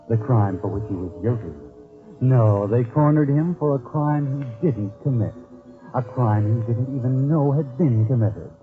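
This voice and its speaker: An actor playing a mysterious, knowing storyteller who addresses the listener with irony in 1940s radio mystery narration. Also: male voice, medium pitch, natural voice. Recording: muffled old radio recording, music behind. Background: music.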